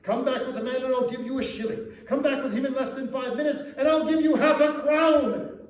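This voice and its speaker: Man performing an excitable old Scrooge, echoey and high. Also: brisk. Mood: giddy, excited. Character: old miser Scrooge turned giddy, exuberant and generous. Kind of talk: theatrical storytelling performance. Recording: very echoey room, distant mic, faint noise.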